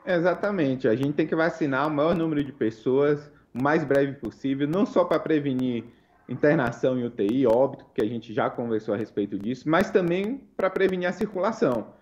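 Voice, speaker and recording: A Brazilian man, a researcher giving measured, explanatory answers as an interview guest, heard over a lo-fi link. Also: medium pitch, animated, darkish tone.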